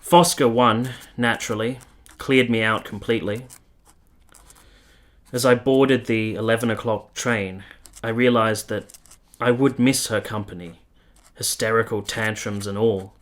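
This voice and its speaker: A man acts a sincere young military officer in a period radio drama. His voice sits low. He speaks in measured, literary phrases, tender and reflective, as if setting down a memoir. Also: fairly even intonation, a bit bright. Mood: reflective, wistful. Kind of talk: radio play acting and literary narration.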